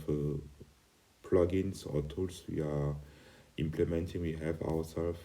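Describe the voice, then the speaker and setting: Very deep, bright-voiced man teaching haltingly. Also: rough recording. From Cameroon. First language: not English. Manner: halting, hesitant phrasing. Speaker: company CEO, online course instructor. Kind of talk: informal online lesson, unscripted explanation.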